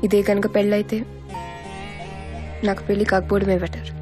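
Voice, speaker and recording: An actress playing an earnest, guarded young woman in a film drama, with a sincere, measured delivery. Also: mid-range voice, level tone, lightly acted. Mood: quietly bitter. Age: young adult. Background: background music.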